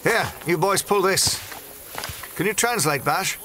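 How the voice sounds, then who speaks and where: An actor playing a cocky, flippant adventurer-archaeologist in an audio drama, with a breezy, teasing, self-assured way of talking. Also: male voice, high-pitched, animated, measured pace, noisy background. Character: cocky, flippant male adventurer-archaeologist, wry skeptic of legends. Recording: loud cinematic music behind the voice.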